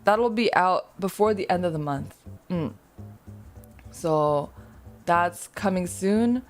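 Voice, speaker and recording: A woman's voice: lowish voice, expressive, takes it slowly, faint music behind. A woman streamer chatting casually with her viewers, rambling and self-deprecating, laughing often.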